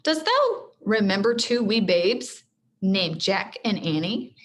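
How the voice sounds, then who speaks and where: A woman reading the part of a kindly, stately pilgrim governor in a storybook, formal and measured in old-fashioned phrasing. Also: medium pitch, sing-song. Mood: warm, solemn.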